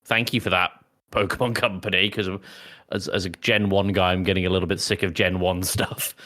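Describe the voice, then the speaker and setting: A man's voice: low voice, little pitch movement, brisk, pushed and bright. Casual male podcast host, chatty. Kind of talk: casual film discussion.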